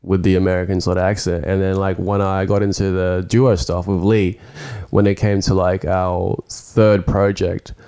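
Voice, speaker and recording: Young aussie-rapper guy, really low voice, dark and smooth, kinda rambly. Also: little pitch movement, normal speed, few pauses. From New Zealand. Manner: reflective, rambling with many fillers. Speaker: rapper guest. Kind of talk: casual podcast chat.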